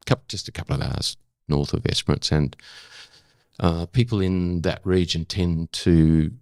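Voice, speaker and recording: An Australian man of seventy talking reflectively in an interview, in an unhurried, halting storytelling way with emphatic repetition. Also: sits low, vocal fry, a little mellow, no room sound, clean studio sound. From South Australia. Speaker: interview guest, former broadcaster.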